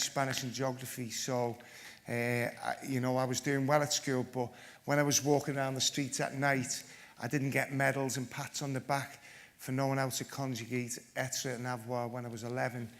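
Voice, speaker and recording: A man giving a conference talk, telling his own story in a blunt, self-deprecating and joking way. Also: medium pitch. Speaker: panel speaker, former PE teacher.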